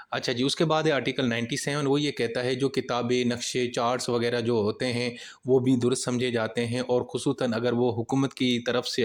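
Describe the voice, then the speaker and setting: A man with a Pakistani accent teaching as a law lecturer, informally explaining and rephrasing each point in plain words with conversational tags. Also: medium pitch. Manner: conversational tag phrases.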